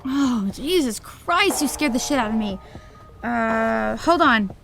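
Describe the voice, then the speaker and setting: An actress playing a groggy, placating young best friend in an audio drama, casual and hesitant. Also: female voice, fairly high pitch, expressive, slow pace, vocal fry, soft background music, full character voice. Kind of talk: naturalistic audio drama acting.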